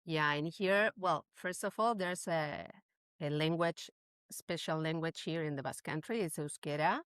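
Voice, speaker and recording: A woman's voice: sits low, moderate pace, fairly bright tone, clean tone, dry recording, studio quality. A woman from Chile with a Chilean Spanish accent, answering as a podcast guest in casual, conversational English.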